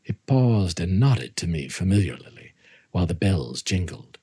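A man giving a theatrical, deliberate literary reading of a Gothic story as narrator. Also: low-pitched, big pitch swings, dark tone, slightly rough.

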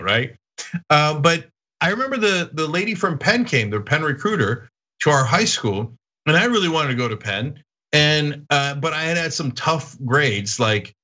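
Man raised in Turkey, lively storyteller. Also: mid-range voice, muffled recording. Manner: animated storytelling, laughs often. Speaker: podcast host. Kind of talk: casual chat.

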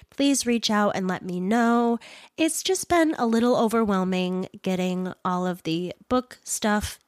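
A woman's voice: mid-range voice, dry recording. Woman podcast host, stuffy congested voice, chatty and rambling, dry close sound. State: congested from a cold. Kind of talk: casual solo podcast chat.

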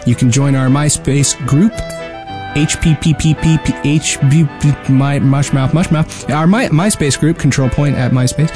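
A man's voice: a little high, measured pace, music in the background. A man co-hosting a gaming podcast in casual conversation, with a wry, joking way of talking. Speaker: podcast co-host.

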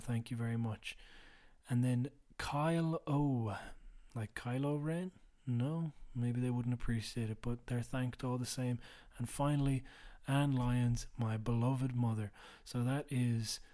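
Man, tired, low quiet voice, rambling, flat intonation, mid pitch. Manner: rambling, self-deprecating, drops to a mutter at times. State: tired, recording late at night. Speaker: podcast host. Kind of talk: casual solo housekeeping chat.

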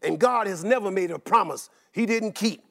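A man preaching a sermon in a rhythmic chant, running through long repeated lists and rising into shouts. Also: high voice, normal speed, vocal fry.

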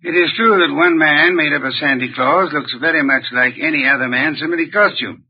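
An actor playing a pompous, deliberate, eccentric genius detective in an old radio drama, with formal, precise diction. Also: male voice, fairly high pitch, slightly rough. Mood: measured, expository. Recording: muffled vintage radio recording.

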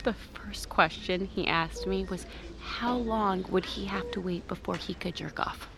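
An actress playing a tired, wry young nurse in naturalistic, conversational audio drama dialogue. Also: female voice, medium pitch, measured pace, gravelly. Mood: amused, teasing.

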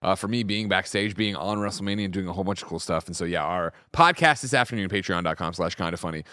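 A man hosting a video game news show, his voice roughened from two nights of screaming, talking with big emphatic hype. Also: low-pitched, fast talker, dry recording, clean studio sound. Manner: animated, emphatic hype delivery. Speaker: host. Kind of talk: energetic podcast show hosting.

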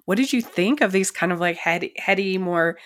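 A woman's voice: mid-range voice, normal speed. A woman hosting a film critics podcast, chatting eagerly with her guest and rambling through her stories with many restarts. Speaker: podcast host. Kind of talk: casual interview chat.

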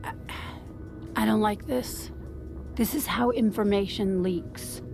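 A woman's voice: mid-range voice, slow pace, gravelly, over music. A woman acting in a naturalistic audio drama as a guarded, secretive businesswoman, with clipped, hesitant delivery. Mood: tense, annoyed.

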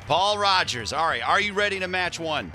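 A man's voice: high voice, expressive, moderate pace, music in the background. A man co-hosting a radio show, enthusiastically hyping up the guest and the game. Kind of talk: upbeat radio banter.

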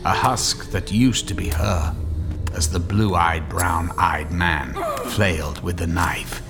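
A man narrating a horror story in a theatrical, dramatic style with deliberate phrasing. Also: deep voice, over music.

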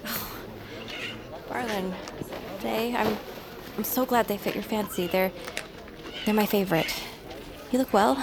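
A woman playing a kind, demure young market woman in a fantasy audio drama, gentle and formally spoken. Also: mid-range voice, unhurried, pauses often, noisy background, lightly acted. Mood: gentle, shy.

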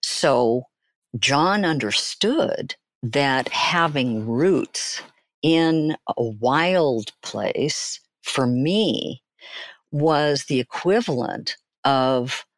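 An older woman telling personal stories as an interview guest, phrasing deliberately and emphatically with pauses. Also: very deep voice, expressive, no echo. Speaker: guest, therapist and author.

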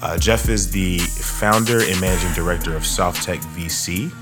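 A man hosting a podcast interview, chatting casually and filling pauses with um, uh and you know. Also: low voice, music in the background. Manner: rambling, many fillers and restarts. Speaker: podcast host.

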